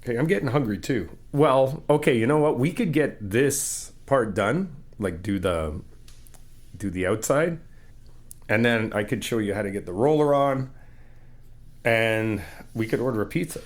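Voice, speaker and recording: A man's voice: mid-range voice, expressive, normal speed. A young man in an ASMR roleplay, talking as a friend helping paint a new apartment, easygoing and reassuring, teasing and laughing often. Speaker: ASMR roleplay creator playing a supportive friend.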